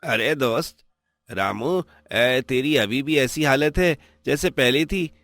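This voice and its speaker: A blunt, familiar village buddy acted by a man speaks chummily at medium pitch. It is storybook dialogue with a dry sound. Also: slow, fairly bright tone, no echo. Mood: teasing. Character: blunt, familiar male old friend of a farmer.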